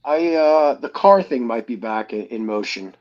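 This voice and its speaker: Muffled lo-fi audio, guy just chatting, thinking out loud, average pitch. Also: animated, moderate pace. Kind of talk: casual chat. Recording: dull lo-fi voice channel.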